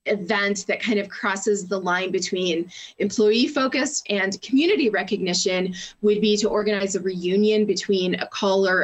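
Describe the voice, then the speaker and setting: A woman interview guest who works in public relations, giving practical explanations in an articulate, organized way with lists of examples. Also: mid-range voice.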